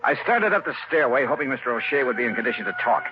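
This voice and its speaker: An actor in a 1950s radio drama playing a hard-boiled investigator who narrates and gives orders in a brisk, commanding, wry manner. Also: male voice, medium pitch, sing-song, dull audio, over loud music, natural voice. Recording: old radio broadcast with hiss.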